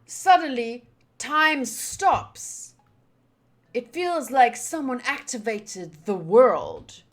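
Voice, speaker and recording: A woman's voice: a little high, drawn-out words. A woman streamer reading visual novel text aloud with casual, playful commentary. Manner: animated, playful, exclaiming. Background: game music.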